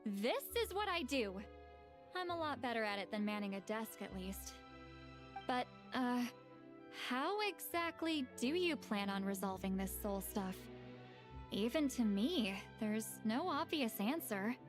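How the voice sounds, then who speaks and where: A grumpy, unhurried tracker. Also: female voice, on the high side, expressive. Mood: dry. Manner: blunt, grumbling, unhurried. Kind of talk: game cutscene voice acting. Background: game music.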